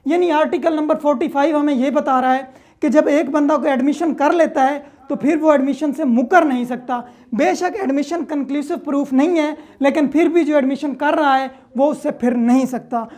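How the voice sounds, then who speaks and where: High-pitched Pakistani woman giving a lecture. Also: fairly even intonation, normal speed, darkish tone. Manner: didactic, repeats points for clarity, frequent check-in phrases. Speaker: law lecturer. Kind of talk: informal explanatory lecture with examples.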